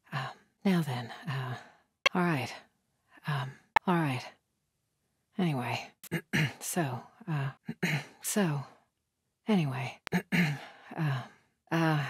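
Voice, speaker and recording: A woman's voice: sits low, slightly rough, dry recording, exaggerated voice. A woman voicing a wary, fierce female cult soldier in a video game, in short, urgent barks. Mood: low, hesitant. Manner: short, urgent, terse phrases.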